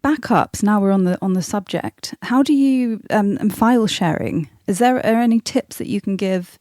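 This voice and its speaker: A woman's voice: mid-range voice, moderate pace, mellow, no room sound, studio quality, soft background music. A woman hosting a podcast, asking questions in a casual, chatty interview style. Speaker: podcast host.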